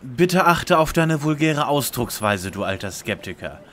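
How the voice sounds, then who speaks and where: Man acting as smug schoolboy detective, over-polite, pompous, animated mid-pitched voice, parody audio drama. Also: unhurried.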